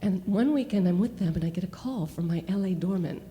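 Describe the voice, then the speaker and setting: A woman's voice: mid-range voice, quick pace, warm tone, a little hiss or hum. A woman performing an autobiographical cabaret monologue with wry, emphatic storytelling, recorded live on a small club stage through a stage microphone. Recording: live club with reverb and piano.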